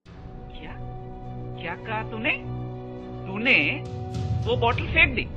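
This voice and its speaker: An actor playing a rude, bullying husband who shouts abuse at his wife in a radio play. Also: very high voice, very animated, takes it slowly, highs cut off, music in the background, exaggerated voice. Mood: angry.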